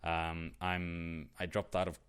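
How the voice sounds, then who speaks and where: A man's voice: sits low, monotone, slow, a bit bright, smooth. A young man with a Swedish accent, co-hosting a podcast in casual chat, rambling with many fillers and restarts. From Sweden. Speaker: podcast co-host.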